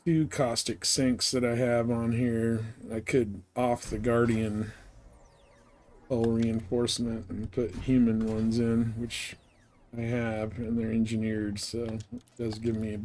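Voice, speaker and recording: A man's voice: mid-range voice, completely flat delivery, slow, a little mellow, slight background noise. A man giving casual gameplay commentary as a streamer, muttering short remarks to himself as he thinks aloud. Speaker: game streamer.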